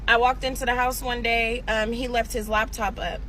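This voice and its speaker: A woman's voice: fairly high pitch, level tone, moderate pace, a bit bright, slight background noise, low-quality recording. A woman, telling a personal story in a candid, chatty way and talking straight to her viewers. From San Diego, California. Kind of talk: casual story time vlog.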